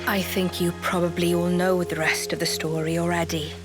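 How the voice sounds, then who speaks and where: A woman voicing a calm, wise young woman in a video game, explaining things in a measured way over game music. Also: on the low side, natural voice. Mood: solemn. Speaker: game character Nia. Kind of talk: video game cutscene dialogue. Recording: game cutscene with music behind.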